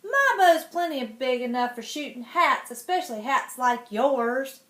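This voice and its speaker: A spoiled little duke with a high, shrill voice sneers and whines, as a woman reading aloud puts him on. He is petty and mean, a storybook brat, heard in an echoey room. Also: lively intonation, unhurried, fairly bright tone. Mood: mean, taunting. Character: spoiled bratty boy duke, whiny.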